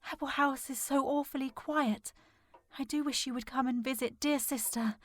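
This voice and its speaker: A woman playing a distressed, determined young gentlewoman in a period audio drama, speaking formally and earnestly. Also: high voice, steady tone, moderate pace, no echo. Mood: sad, hushed reading. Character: distressed, determined young gentlewoman searching for her missing sister.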